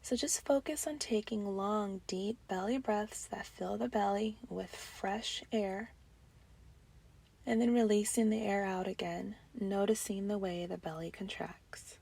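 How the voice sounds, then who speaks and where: Breathy, slow-paced woman guiding meditation. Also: medium pitch. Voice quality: soft, breathy, often trailing into near-whisper. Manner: calm, gentle, soothing, with long pauses. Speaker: meditation guide. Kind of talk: guided breathing meditation narration.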